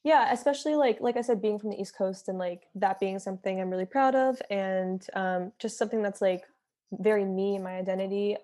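A woman's voice: mid-range voice, brisk, mellow. Casting: young woman, singer guest, chatty and filler-heavy. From Philadelphia. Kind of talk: casual interview chat.

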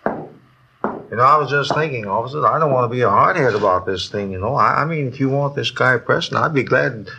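An actor playing a nervous, wheedling small-time crook in a 1950s radio drama, hesitant and repeating himself. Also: male voice, medium pitch, fairly quick, gravelly, noisy background, lightly acted. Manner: hesitant, repetitive, pleading. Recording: vintage 1950s radio broadcast.

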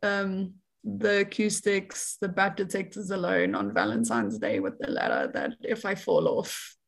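A woman's voice: mid-range voice, expressive, measured pace, gravelly. A young woman from South Africa with a South African accent, chatting as a researcher guest in an interview, laughing often and using many fillers. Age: young adult. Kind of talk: casual interview chat.

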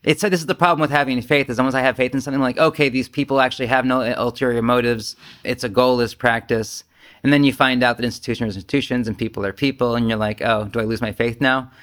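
A man's voice: mid-range voice, steady tone, fast, fairly bright tone. A man leading a casual group discussion as a Zen teacher, speaking candidly and self-deprecatingly, with swearing and imagined quotes.

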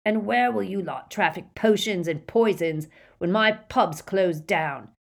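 Woman putting on a bellowing, gruff old barman. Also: medium pitch, a little slow, pitched above the performer's own voice. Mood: defiant, sarcastic. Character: gruff, defiant old male pub barman, quick-tempered.

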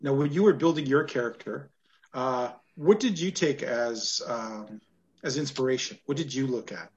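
A man's voice: medium pitch, normal speed, a little mellow. A man interviewing a guest, asking curious, casual follow-up questions. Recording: dull lo-fi sound.

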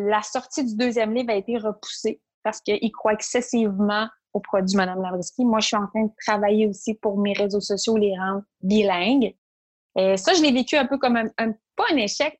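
A woman with a Québécois French accent speaks as a guest entrepreneur in an interview. She talks in a lively, animated way and often acts out her own inner thoughts as she tells her story. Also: mid-range voice, normal speed. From Quebec. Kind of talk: interview conversation.

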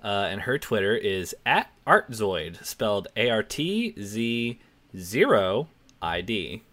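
A man's voice: fairly low pitch, takes it slowly. A man in his twenties co-hosting a casual podcast, joking about himself and laughing often. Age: 20s. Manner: lively, self-deprecating, laughs often. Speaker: podcast co-host.

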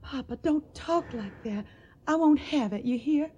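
An actress playing a devoted, anxious young daughter in a radio drama. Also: female voice, high-pitched, unhurried, warm tone, muffled recording, slight background noise. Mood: coaxing, anxious.

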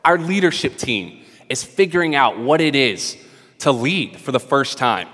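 A man from North Carolina with a Southern accent, preaching casually to his church while raspy from a cold. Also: medium pitch, very animated, unhurried, a bit bright, big-room sound. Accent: Southern American. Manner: casual, conversational preaching with frequent restarts. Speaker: pastor. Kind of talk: sermon. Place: church service in a hall.

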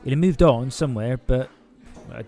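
Actor as mellow, offhand street acquaintance. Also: male voice, medium pitch, moderate pace, close to an everyday voice. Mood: guarded, unhelpful. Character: wary, vague male street acquaintance of missing man. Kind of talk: naturalistic audio-drama dialogue.

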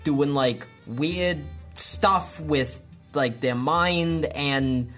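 Excitable player who rambles through the story in a mid-pitched voice. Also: extremely slow, faint music behind. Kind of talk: tabletop roleplay in character.

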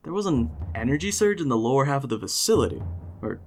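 Man playing anxious boy servant, audio drama, halting speech, stammer, lively intonation, soft music. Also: mid-range voice. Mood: nervous. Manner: hesitant, stammering. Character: nervous, stammering boy servant reporting to master.

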